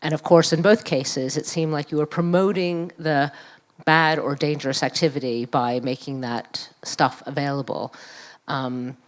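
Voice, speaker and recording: A middle-aged woman with a New York accent speaking conversationally at a public talk, halting and thoughtful. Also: deep voice, steady tone. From New York, USA. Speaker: panel speaker, academic and activist. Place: live event room at a museum.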